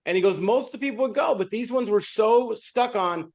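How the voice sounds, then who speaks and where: A man's voice: sits high, fairly quick, darkish tone. An American man in casual conversation as a panelist, telling anecdotes and acting out what people said. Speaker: podcast panelist, leadership instructor.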